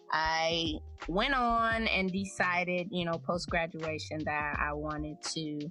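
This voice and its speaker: A woman in her thirties, a podcast host, telling her life story in a relaxed, chatty, reflective way. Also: low-pitched. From United States. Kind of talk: casual personal storytelling.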